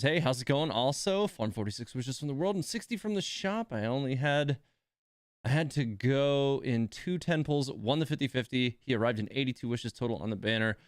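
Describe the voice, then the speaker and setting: A man's voice: mid-range voice, no room sound, clean studio sound. A man hosting a game livestream, chatting casually and informally to his viewers in a rambling way.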